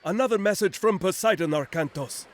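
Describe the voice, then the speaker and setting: A man's voice: high voice, fairly quick, natural voice. A man playing an urgent temple advisor. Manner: earnest, urging. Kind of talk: video game cutscene.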